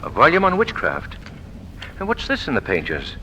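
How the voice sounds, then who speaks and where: An actor playing a suave, inquisitive gentleman detective in a polished 1940s radio drama manner. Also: on the high side, wildly swooping pitch, normal speed, full character voice. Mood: curious. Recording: noisy old radio recording.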